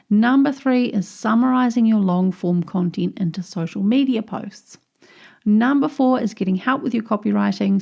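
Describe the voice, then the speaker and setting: A woman's voice: mid-range voice, gravelly, mellow. A woman with a New Zealand accent hosting her own podcast, explaining tips in an upbeat, chatty way. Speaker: podcast host. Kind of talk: solo podcast tips episode.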